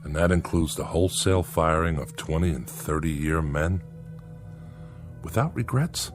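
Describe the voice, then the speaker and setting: An actor in a radio drama playing a principled, embittered male plant manager, speaking gravely and earnestly. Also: rumbling low, measured pace, soft background music. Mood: indignant.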